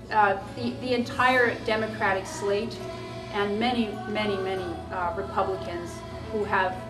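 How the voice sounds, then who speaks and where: A woman from Switzerland giving an earnest, emphatic public speech as an anti-drug activist. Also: medium pitch. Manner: earnest, emphatic personal testimony. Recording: echoey room, distant mic, music behind.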